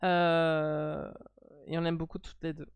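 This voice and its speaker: A woman's voice: sits low, level tone, slow, clean tone, dry recording, highs cut off. A woman with a French accent, hosting a live stream and chatting casually, blunt and playfully teasing.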